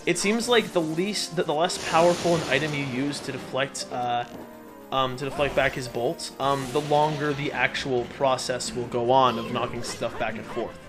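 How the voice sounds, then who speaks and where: A man's voice: medium pitch, fairly even intonation, moderate pace. A man hosting a let's play with casual, rambling, jokey and self-deprecating commentary over game music. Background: video game music.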